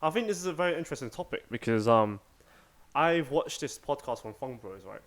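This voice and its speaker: A young man with a mid-range voice co-hosts a podcast. He talks in a relaxed, easygoing way and explains things at an unhurried conversational pace. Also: mid-range voice. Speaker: podcast co-host. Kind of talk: casual chat.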